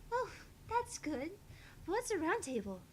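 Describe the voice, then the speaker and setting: A woman playing a sweet, naive young girl in a visual novel, with a soft, airy voice and innocent, hesitant questions. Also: very high voice, sing-song, measured pace, a little hiss or hum, full character voice, poor audio. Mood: curious.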